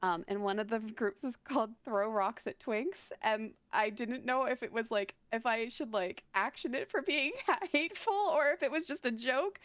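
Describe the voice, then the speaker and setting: A woman's voice: a little high, moderate pace, few pauses, fairly bright tone, no echo. A woman chatting casually as a guest on a live stream. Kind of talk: casual chat.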